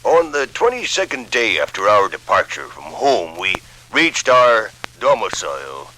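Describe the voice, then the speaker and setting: Bright, declamatory old-time hunter, boastful. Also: male voice, lowish voice, big pitch swings, a little slow, slight background noise, heavily acted, poor audio. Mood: proud, declaiming. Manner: formal, declamatory recital. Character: boastful 19th-century hunter reading out his record of game. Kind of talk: 1950s radio dramatization. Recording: 1950s radio recording.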